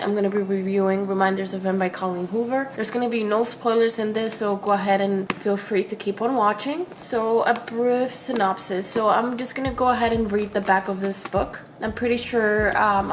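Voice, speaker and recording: A woman's voice: medium pitch, a little hiss or hum. A woman with a congested, sick-sounding voice, chatting casually as she reviews a book on her channel, with frequent filler words. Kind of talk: casual chat.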